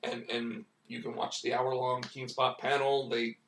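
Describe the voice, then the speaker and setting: A hoarse man talks casually at a middle pitch, rambling through his thoughts with plenty of restarts. His voice sits back from the mic in a roomy, echoing space. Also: little pitch movement, measured pace, fairly bright tone, distant mic, a little hiss or hum. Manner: rambling, many restarts and "like" fillers. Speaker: comic book writer and stream host. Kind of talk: casual live-stream chat.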